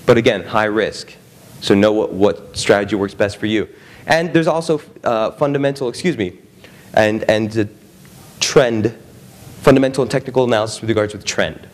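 A man's voice: fairly low pitch, normal speed. A young man presenting a trading seminar to a live audience, conversational, often checking in with the room. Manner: conversational, frequent "right?" and "okay?" check-ins with the audience. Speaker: trading strategist presenting at an expo.

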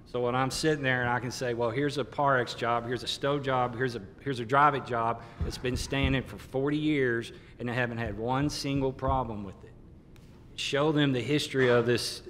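A man's voice: fairly even intonation, moderate pace, fairly bright tone. A middle-aged man, a contractor on a conference panel, speaking in an emphatic, plain-spoken way. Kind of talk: panel discussion. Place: conference hall stage panel. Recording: reverberant conference hall, faint rumble.

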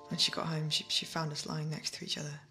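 An actress playing a haunted, obsessively loving daughter in an audio play, speaking with halting intensity and repeating her words. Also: low-pitched, monotone, pushed and bright, soft background music. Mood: unsettled. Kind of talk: dramatic monologue, audio play.

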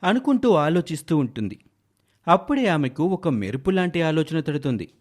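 A man delivers scripted story narration. His voice sits a little high and swings widely in pitch, giving the tale a dramatic, animated feel. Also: normal speed.

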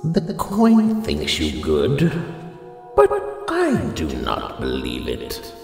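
A man playing an ancient, solemn guardian spirit who speaks gravely and portentously in a superhero audio drama. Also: a bass voice, wildly swooping pitch, extremely slow, darkish tone, soft background music, exaggerated voice. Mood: grave, testing. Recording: long cavernous reverb.

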